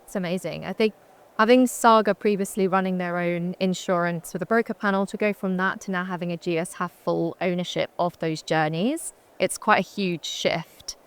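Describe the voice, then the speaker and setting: A woman's voice: on the low side, steady tone, normal speed, clear, resonant tone. A woman moderating an on-stage interview, asking questions in an upbeat, encouraging way.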